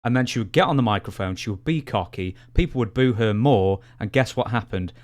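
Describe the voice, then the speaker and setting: A man's voice: lowish voice, a little fast, a little mellow. A man co-hosting a podcast, discussing sports casually and building his points emphatically, step by step. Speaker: podcast co-host.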